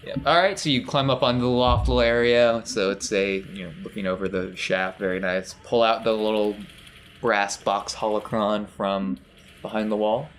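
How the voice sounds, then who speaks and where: A man's voice: fairly low pitch, steady tone, moderate pace, a little hiss or hum. A man working as game master, casually improvising scene narration for a tabletop game.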